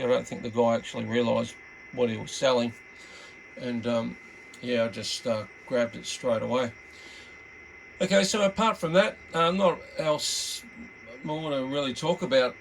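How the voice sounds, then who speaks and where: A middle-aged or older Australian man giving a casual, unscripted product walkthrough in a laid-back, halting, rambling way. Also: medium pitch, vocal fry, noisy background. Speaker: hobbyist radio reviewer.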